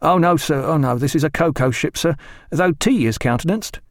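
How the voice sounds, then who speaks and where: A man narrating acts a deferential steward aboard a warship in a historical audiobook. The voice is lifted in pitch, apologetic and servile, yet insistent. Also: normal speed, dry recording, studio quality, natural voice.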